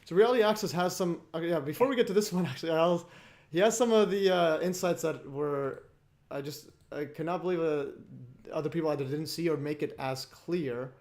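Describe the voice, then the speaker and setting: A man's voice: on the high side, a little fast, a little mellow. Rambling, laughing male livestream host. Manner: rambling, laughs often, many restarts. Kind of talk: casual livestream chat.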